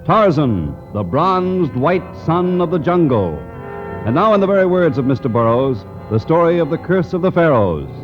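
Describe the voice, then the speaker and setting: A man's voice: on the high side, very animated, moderate pace. A man announcing in a grandiose, theatrical 1950s radio style. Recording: dull 1950s radio recording. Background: music.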